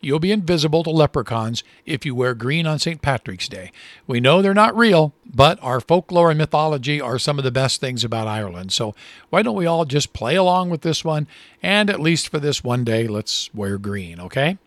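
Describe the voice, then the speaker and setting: A man co-hosting a radio show, chatting and reading ad copy with lively stresses. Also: on the high side, moderate pace, no echo. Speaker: radio co-host. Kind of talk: radio show chat and read ads.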